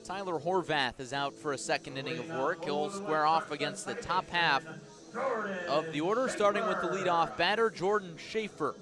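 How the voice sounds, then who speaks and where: A man's voice: fairly high pitch, normal speed, over loud music. A man doing live baseball play-by-play for radio, describing the action in clipped, descriptive phrases. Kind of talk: live radio sports commentary.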